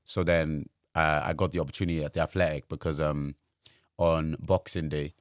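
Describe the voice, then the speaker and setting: A man's voice: extremely low pitch, little pitch movement, normal speed, no echo, studio quality. A man, a guest telling his career story in casual chat, rambling with lots of fillers. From Wales. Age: 20s. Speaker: guest, sports journalist.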